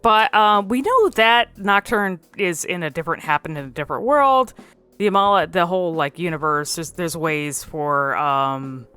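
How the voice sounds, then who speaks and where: Low smooth voiced woman musing casually about game lore, pausing a lot, faint music behind. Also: fairly bright tone. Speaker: video creator commentating on a game.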